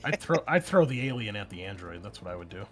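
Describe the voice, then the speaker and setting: A man's voice: lowish voice, big pitch swings, quick pace, faint music behind. A man acting as game master of a tabletop roleplaying game, narrating scenes and explaining the rules conversationally.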